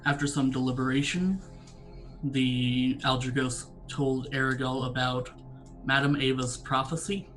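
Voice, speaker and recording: A man running a tabletop game as dungeon master, narrating in a measured, even storytelling voice from a home setup. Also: medium pitch, soft background music, poor audio.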